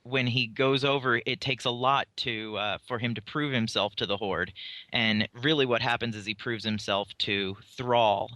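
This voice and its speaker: A man co-hosting a podcast, explaining fantasy game lore off the cuff and sometimes pausing to think aloud. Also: medium pitch, fairly even intonation, bright, forceful tone, no echo. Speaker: podcast co-host.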